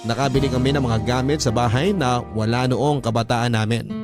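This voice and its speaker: A man's voice: mid-range voice, fairly even intonation, brisk, natural voice. Reflective Filipino male narrator over guitar music. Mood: reflective, grateful. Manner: earnest, reflective. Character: grateful, devout storyteller recalling family hardship. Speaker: story narrator. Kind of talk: scripted first-person drama narration.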